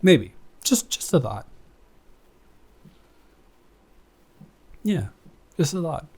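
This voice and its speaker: A man's voice: on the high side, very animated, unhurried, darkish tone, slightly rough, exaggerated voice. Man acting a hesitant, affectionate male roommate. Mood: playful, coaxing. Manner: hesitant, rambling, stammering. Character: shy, affectionate male roommate confessing to best friend. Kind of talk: improvised intimate audio roleplay.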